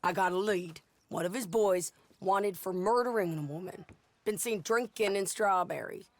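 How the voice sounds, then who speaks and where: A woman's voice: medium pitch, normal speed, no echo, lightly acted. A woman playing a tough, driven frontier bounty hunter in a video game, terse and urgent, with an American accent. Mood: urgent, determined. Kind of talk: dramatic video game acting.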